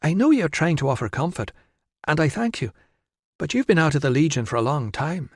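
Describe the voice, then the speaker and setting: A man's voice: a little high, sing-song, a little fast, breathy edge, no echo, clean studio sound, voice pushed up, full character voice. A man doing an anxious, earnest young woman. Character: worried young woman, devoted wife, anxious but firm.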